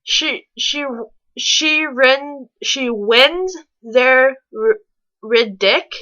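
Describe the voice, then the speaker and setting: A woman's voice: high voice, drawn-out words, pushed and bright, dull audio. A woman reading a story aloud, stumbling and rereading lines as she goes and dropping in mocking asides. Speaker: reader on a fan fiction reaction show.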